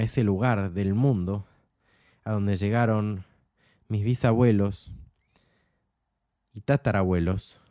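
A man's voice: lowish voice, warm tone, no echo, studio quality. A young man with an Argentine accent, hosting his own program and speaking in an earnest, impassioned monologue full of repeated emphatic phrases. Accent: Argentine Spanish. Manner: earnest, repetitive rhetorical emphasis. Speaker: program host.

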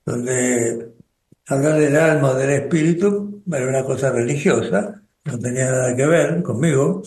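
Older Argentine man, slow and reflective. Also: medium pitch, a little mellow, roomy sound. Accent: Rioplatense Spanish. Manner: slow, thoughtful, with pauses and restarts. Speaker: spiritual group coordinator and radio guest. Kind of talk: reflective radio conversation.

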